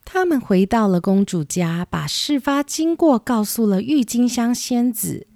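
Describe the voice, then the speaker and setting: A woman's voice: on the high side, expressive, a little slow, warm tone, no room sound, clean studio sound. A woman narrating a scripted children's story as the storyteller.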